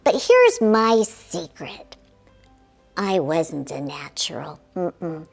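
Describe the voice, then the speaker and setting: Lady acting out a plucky bragging girl pilot for a kids storybook, high voice, super animated, cheeky, music under it. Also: full character voice. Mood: confiding. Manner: exuberant, cheeky, dramatic asides. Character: spirited, boastful young woman aviator telling her story. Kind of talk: children's storybook read-aloud.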